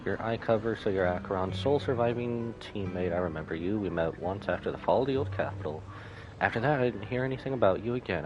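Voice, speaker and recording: A man voicing a kind, grieving brother from a game, gentle and reassuring. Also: fairly low pitch, lo-fi sound, natural voice. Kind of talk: game dialogue read aloud by a streamer. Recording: music behind the voice.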